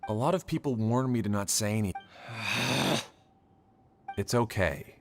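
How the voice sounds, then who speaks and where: A man's voice: fairly low pitch, a little slow, soft background music. An actor playing a weary young male researcher in an anime-style game, placating and resigned.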